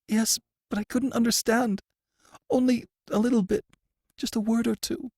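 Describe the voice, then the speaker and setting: A man's voice: flat delivery, moderate pace, darkish tone, dry recording. A man voicing a terrified male prisoner who gasps and wails in panic. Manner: gasping, shrill, panicked wailing. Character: terrified male prisoner, a guilt-haunted murderer.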